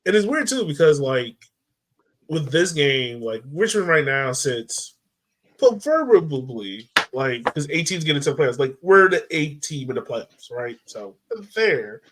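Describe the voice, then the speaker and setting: Guy with a slightly high voice, casual sports chat, stop-and-start, lots of filler. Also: very animated, fairly bright tone, a little hiss or hum. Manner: informal, halting with frequent filler "like". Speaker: sports podcast co-host.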